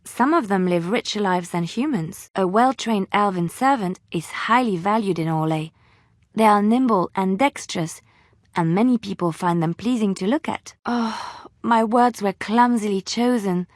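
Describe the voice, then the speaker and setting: A woman playing a devout, gentle, romantic young companion in a fantasy video game, speaking in formal, earnest phrases. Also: medium pitch, normal speed, no echo, studio quality, lightly acted. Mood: apologetic.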